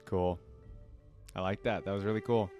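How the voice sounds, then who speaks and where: A man with a fairly deep voice hosts a casual reaction. He thinks aloud in short remarks and questions, with music clearly playing behind him. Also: fairly even intonation, normal speed, a little mellow. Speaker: reaction video host. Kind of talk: casual live reaction commentary. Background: game trailer music and audio.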